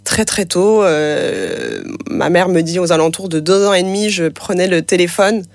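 A woman whose first language is French Sign Language, speaking as an interview guest, pausing often with fillers and restarts. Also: lowish voice, lively intonation, vocal fry, no echo. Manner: hesitant, many fillers and restarts. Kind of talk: casual conversational interview.

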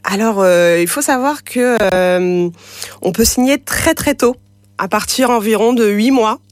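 A woman whose first language is French Sign Language, speaking as an interview guest, pausing often with fillers and restarts. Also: a little high, no echo. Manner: hesitant, many fillers and restarts. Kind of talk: casual conversational interview.

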